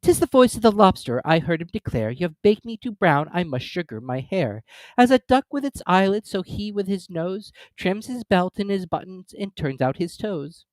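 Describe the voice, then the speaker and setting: Man putting on a timid, high-voiced little girl. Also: big pitch swings, normal speed, dry recording. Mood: nervous recital. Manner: hesitant, earnest. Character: timid, polite, puzzled young girl Alice.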